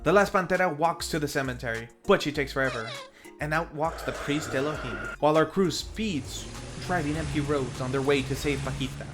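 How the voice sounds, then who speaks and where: A man's voice: fairly high pitch, fairly quick. A man hosting a film review, narrating a scripted recap in a playful, tongue-in-cheek way with theatrical asides. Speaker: film review show host. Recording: faint music under the voice. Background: faint background music.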